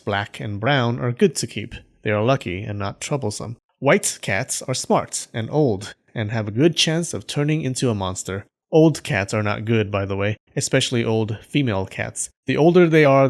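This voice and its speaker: Man narrating folktales with deadpan humor. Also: medium pitch, gravelly. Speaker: storyteller, channel host.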